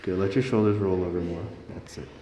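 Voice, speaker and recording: A man's voice: sits low, fairly even intonation, quick pace, mellow. A man working as a chiropractor, casually guiding a patient with short step-by-step directions and reassurance. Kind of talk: casual hands-on patient instruction. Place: indoor treatment room. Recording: echoey room with faint background noise.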